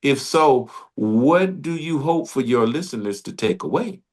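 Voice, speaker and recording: A man hosts a radio interview, talking in an enthusiastic, meandering way and laughing often. His voice sits at an ordinary middle pitch, and he chats casually with his guest. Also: expressive, a little slow, a little mellow. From Detroit, Michigan.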